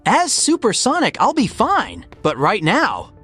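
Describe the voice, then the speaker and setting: Woman voicing a lively, wry young hedgehog hero. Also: a little high, measured pace, faint music behind, exaggerated voice. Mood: weary. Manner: casual, wry. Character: weary but plucky young male hedgehog hero.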